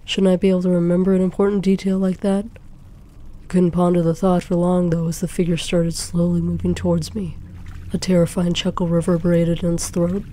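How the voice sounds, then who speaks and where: A woman narrating a suspenseful first-person story in a dramatic, deliberate way. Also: on the low side, little pitch movement, mellow. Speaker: fan fiction narrator. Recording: faint background noise.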